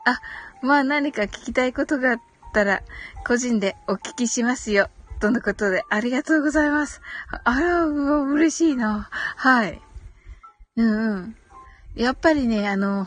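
A woman with a fairly high voice hosts a casual live stream. She reads out listener comments and answers politely, agreeing often with small murmured responses. Music plays behind her as she talks. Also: moderate pace. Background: background music.

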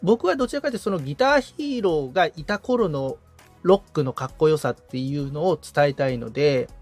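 A man's voice: on the high side, expressive, fairly quick, a little mellow, soft background music. A man in the assistant's seat on a podcast, explaining his interests casually and enthusiastically, thinking aloud as he goes. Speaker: podcast assistant, guitarist being coached.

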